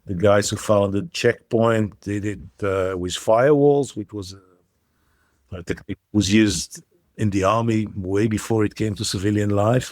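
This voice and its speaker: A man in his fifties, born in Israel, speaks as a founder on an interview podcast. His voice sits low and comes across dry and close. He often stops to hunt for a word and backs up to start a phrase over. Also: darkish tone, no echo. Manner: hesitant, many fillers and restarts.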